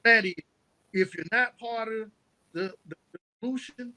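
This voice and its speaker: A man with a notably high voice talks as the guest on an interview podcast. His delivery is conversational. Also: unhurried, pushed and bright, low-quality recording. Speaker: podcast guest, founder of a youth mentoring organization.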